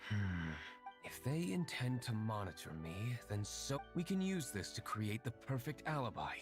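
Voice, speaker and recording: A man's voice: medium pitch, sing-song, normal speed. An actor playing a cool, brooding, guarded young nobleman with a curt, composed manner in a video game. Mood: guarded, calculating. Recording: game music behind voice.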